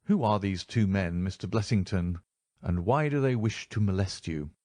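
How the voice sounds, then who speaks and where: A man's voice: sits low, normal speed, dark tone, dry recording, lightly acted. A man voicing a cool male detective who asks short, pointed questions. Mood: stern. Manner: terse, questioning.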